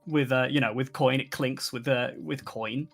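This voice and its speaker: Low-pitched woman thinking aloud at a game. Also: moderate pace, vocal fry, faint music behind. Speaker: tabletop roleplaying player. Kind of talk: casual game chat, describing character actions.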